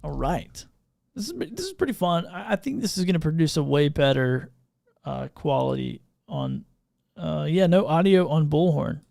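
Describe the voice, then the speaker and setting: A man hosting a livestream podcast, chatting informally and unscripted, thinking out loud as he troubleshoots. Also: a little high, animated, normal speed, gravelly, dry recording, studio quality. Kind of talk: casual unscripted livestream chat. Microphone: podcast microphone through audio mixer.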